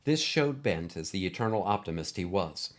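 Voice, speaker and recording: Low-voiced man narrating a story. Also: expressive, moderate pace.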